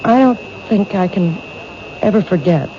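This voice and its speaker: A woman's voice: fairly low pitch, animated, unhurried, mellow. An actress playing a frightened woman stalked by a killer in a 1970s radio drama, speaking hesitantly and doubtfully. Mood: anxious. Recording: muffled old radio broadcast with hiss.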